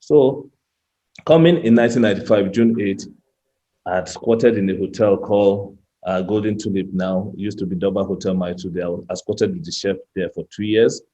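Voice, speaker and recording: A Nigerian man in his fifties speaks in a low, mellow voice as a guest speaker, in a chatty and personal way. He often restarts his sentences and repeats words. The recording sounds muffled and lo-fi. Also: normal speed. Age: 50s. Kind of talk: personal business talk.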